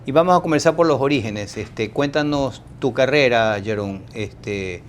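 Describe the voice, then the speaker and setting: A male host with a voice of middling pitch who greets guests courteously and asks formal questions, now and then padding them with small fillers. A faint low rumble runs under the recording. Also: moderate pace. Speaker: talk show host. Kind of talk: interview hosting.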